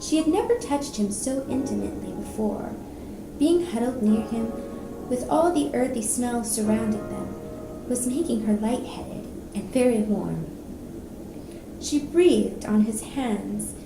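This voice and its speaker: Slow-reading woman narrator in echoey room. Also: on the high side, far from the mic, noisy background. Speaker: narrator reading a novel excerpt. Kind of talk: slow, soft story narration. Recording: roomy echo, music behind.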